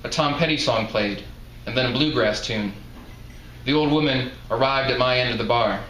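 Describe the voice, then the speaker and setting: A man's voice: mid-range voice, moderate pace, a bit bright. A man reading first-person literary narration aloud from his own novel as its author. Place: live reading event indoors. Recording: echoey room, faint background noise.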